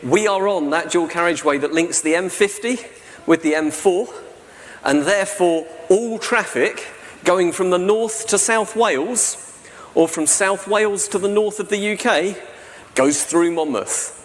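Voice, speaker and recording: A man's voice: sits high, measured pace. A man, giving a chatty, digressive live talk to an audience and checking in with them as he goes. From southern England. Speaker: conference speaker. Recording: hall reverb with faint ambient noise.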